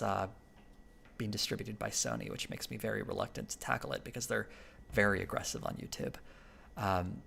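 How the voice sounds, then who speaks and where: A man with a raspy voice, chatting casually with viewers on a live stream, rambling with lots of fillers and restarts. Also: fairly low pitch, a bit bright, slight background noise. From Canada.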